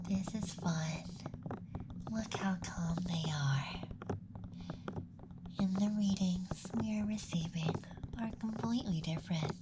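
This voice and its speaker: A man playing a playful, eager young alien assistant in an ASMR roleplay, whispering in a gentle, coaxing way close to the microphone. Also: high-pitched, takes it slowly, pauses often, very noisy. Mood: delighted, gentle.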